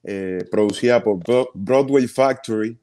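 Earnest Cuban man telling stories. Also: on the low side. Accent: Cuban Spanish. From Cuba. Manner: earnest, animated storyteller with quick bursts. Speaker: stage actor, guest. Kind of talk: casual interview storytelling.

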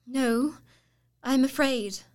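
A woman's voice: high-pitched, very slow, darkish tone. An actress playing a frail, frightened, trusting young woman in an audio drama, speaking plaintively and earnestly. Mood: fearful.

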